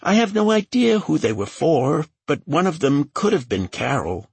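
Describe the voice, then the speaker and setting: A man acts out a scared woman assistant in a thriller audiobook. She pleads and hesitates defensively, her voice pushed high and husky. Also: big pitch swings, normal speed, a little mellow, no echo, heavily acted. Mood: nervous, defensive. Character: frightened, defensive woman, a boss's personal assistant.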